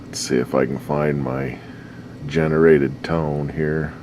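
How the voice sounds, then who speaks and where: Middle-aged guy with a really deep slightly hoarse voice, lots of uhs, noisy workshop with humming in the back. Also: fairly even intonation. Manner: halting, with many fillers. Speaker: radio repair hobbyist. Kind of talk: casual workbench chat.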